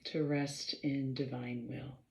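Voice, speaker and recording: Slow, gravelly woman guiding meditation. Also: very deep voice, flat delivery, darkish tone, a little hiss or hum. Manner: measured, halting delivery with pauses and frequent throat clearing. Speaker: guided meditation leader. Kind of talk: slow guided meditation instruction. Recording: roomy, slightly dull sound.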